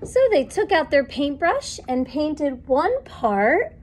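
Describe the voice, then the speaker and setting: A woman's voice: sits high, very animated, slow pace. A woman in her thirties reading a picture book aloud to young children in a sing-song, encouraging, question-asking way, recorded in a small room. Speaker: children's story time reader. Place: small indoor classroom or playroom.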